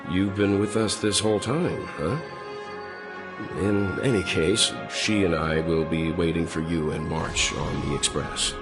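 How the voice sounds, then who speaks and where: A man playing a reserved, steady space traveler in a video game, with a terse, understated way of talking. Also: low-pitched, measured pace, over music. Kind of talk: scripted game dialogue.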